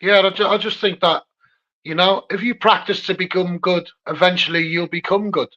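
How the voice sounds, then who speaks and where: Through dull, low-fidelity audio comes a man with a high voice and a Scouse accent. He speaks casually but with earnest emphasis as an interviewer, returning to his key phrases to press his points. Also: fairly even intonation, moderate pace, bright, forceful tone. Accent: Liverpool (Scouse). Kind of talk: casual advocacy chat.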